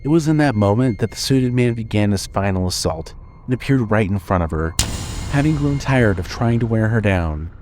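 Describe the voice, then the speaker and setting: A man's voice: lowish voice, animated, normal speed, a little mellow, faint music behind. A man reading scripted horror fiction as the narrator of an audio drama.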